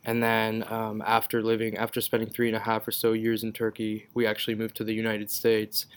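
A man's voice: lowish voice, monotone, fairly quick, clear, resonant tone. A young man from Israel whose first language is Hebrew, a podcast guest chatting casually and rambling with lots of fillers and restarts. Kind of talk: casual interview chat.

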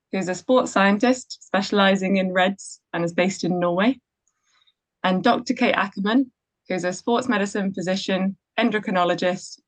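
A young adult woman, a junior doctor hosting a podcast interview, reading clear scripted introductions. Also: medium pitch, no room sound, poor audio.

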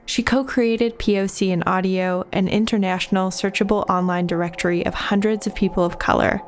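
A woman host reading a scripted guest introduction in a clear, measured way. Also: medium pitch, fairly even intonation, soft background music.

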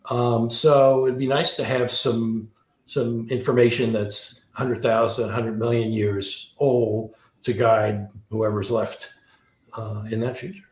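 A man's voice: mid-range voice, little pitch movement, slow. A man speaking as an interview guest and author, thoughtful and discursive, often rephrasing himself as he goes. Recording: roomy, echoing sound.

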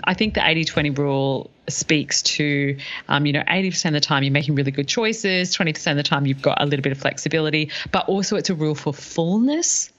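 A slightly dull recording of a woman with a low-pitched voice. She talks as a podcast co-host, laying out points in a relaxed conversational style. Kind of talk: casual explanatory discussion.

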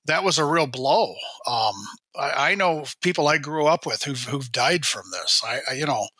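A man in his sixties, hoarse while recovering from illness, rambling and restarting his sentences in a casual radio chat. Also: medium pitch, bright, forceful tone, no echo. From Minnesota. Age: 60s. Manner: rambling, self-correcting storyteller. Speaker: show regular, state high school sports guide.

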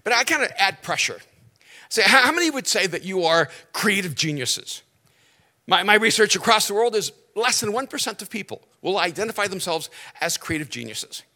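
Middle-aged pastor guy from el salvador, spanish his first language, highish bright voice, stretches words for punch. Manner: animated storyteller, stretches key words, dry jokes. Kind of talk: sermon with stories and jokes.

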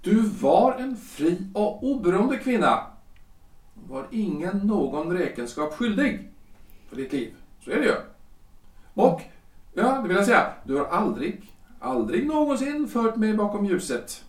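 An actor playing a self-important, flustered lawyer-politician husband in his forties in a period audio drama, halting and repeating himself. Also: sits high, very animated, distant mic. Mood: nervous, defensive. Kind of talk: period stage-play audio drama acting. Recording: roomy echo, off the mic.

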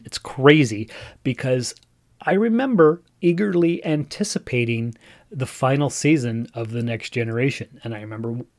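A man's voice: fairly high pitch, a little mellow. A man in his forties hosting a podcast, musing aloud in a chatty, rambling way. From Canada (Northern Ontario). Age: 40s. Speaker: podcast host. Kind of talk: casual solo podcast monologue.

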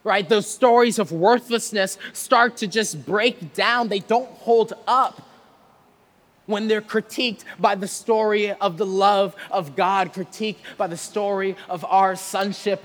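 A man's voice: sits high, steady tone, measured pace, a bit bright. A man preaching a conversational sermon, earnest and rhetorical, often checking in with his listeners. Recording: reverberant hall with long echo.

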